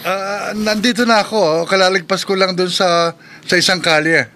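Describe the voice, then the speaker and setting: Taxi driver husband, bright highish male voice, radio drama, faint car noise. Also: fairly even intonation, moderate pace. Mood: relaxed, loving. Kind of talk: Filipino radio drama acting.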